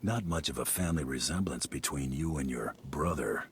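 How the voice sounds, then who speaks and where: A menacing game bounty hunter, laconic and deadpan. The voice is extremely deep and full of gravelly creak. A faint rumble of noise runs behind the curt delivery. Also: male voice, moderate pace. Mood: cold menace. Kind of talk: video game voice acting.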